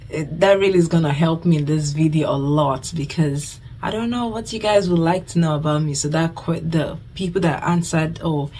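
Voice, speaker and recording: A woman's voice: low voice, a little mellow, a little hiss or hum. A Nigerian woman in her twenties talks casually to her camera as a budgeting vlogger, chatty and thankful, often repeating herself. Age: 20s.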